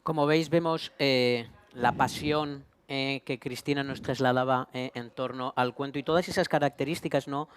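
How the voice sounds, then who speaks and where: A man's voice: medium pitch, steady tone, normal speed, few pauses, a little hiss or hum. A man moderating a panel, summing up with hesitant fillers. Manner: summarizing, with hesitations.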